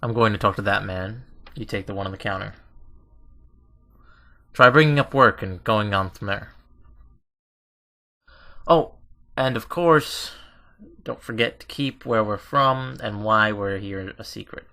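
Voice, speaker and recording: A man's voice: lowish voice, unhurried, creaky, long pauses, pushed and bright, exaggerated voice. A man voicing an easygoing, teasing superior who casually coaxes a young trainee in a visual novel fan dub. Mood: instructing.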